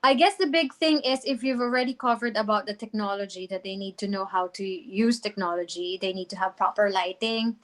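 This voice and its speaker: A woman, giving chatty job-seeking advice full of quick examples. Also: medium pitch, fairly bright tone. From Philippines. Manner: chatty, explains with quick examples, mixes in Tagalog. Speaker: employment advisor. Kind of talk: casual interview answers.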